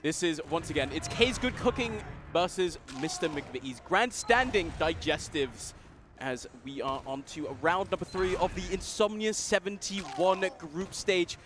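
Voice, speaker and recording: A man's voice: high voice, lively intonation, measured pace, a bit bright. A man commentating a live esports match, joking and rambling with frequent restarts. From Denmark. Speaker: esports caster.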